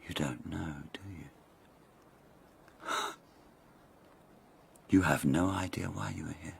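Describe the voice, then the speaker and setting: Very deep-voiced, haughty nobleman. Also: takes it slowly, gravelly, a little hiss or hum, full character voice. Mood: scornful, menacing. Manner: haughty, condescending, drawling innuendo. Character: arrogant, sneering nobleman of an ancient imperial court. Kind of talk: radio drama acting.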